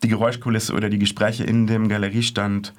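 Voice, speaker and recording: A man with a fairly low voice talks through his report in a radio studio. He speaks hesitantly as a correspondent, with frequent fillers and restarts. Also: level tone, quick pace. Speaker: art correspondent. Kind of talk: casual radio chat, reporting on art fairs.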